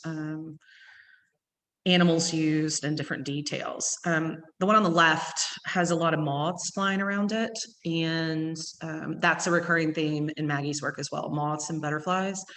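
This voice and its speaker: Fast-talking East Texas woman, low voice. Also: steady tone, fairly bright tone. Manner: fast-talking with many ums. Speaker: gallery owner. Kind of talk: virtual gallery tour talk. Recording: long hall reverb.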